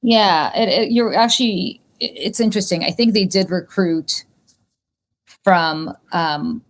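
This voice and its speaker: A woman's voice: fairly low pitch, measured pace. A woman whose first language is Russian, talking casually on a podcast panel and thinking aloud with stumbles and restarts. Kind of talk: casual chat.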